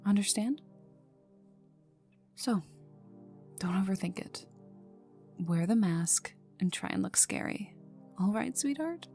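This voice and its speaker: A woman voicing a cocky, teasing, gruffly protective young villain enforcer in an intimate ASMR roleplay, with a casual drawl that drops into whispers. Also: mid-range voice, slow pace, long pauses, a little mellow, lightly acted. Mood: teasing reassurance. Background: ambient music.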